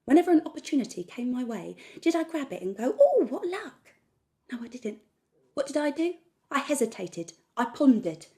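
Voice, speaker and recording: Loud, fired-up defiant woman, acted. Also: sits high, very animated, moderate pace. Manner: emphatic, repetitive, declamatory. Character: manic impulsive woman, defiant, sweary, working herself up. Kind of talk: dramatic monologue acting.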